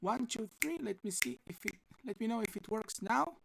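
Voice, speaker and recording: A man with a rough, husky voice teaching a live online class, talking haltingly as he works on his computer audio. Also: high voice, lively intonation, noisy background. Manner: halting, restarts while fixing audio.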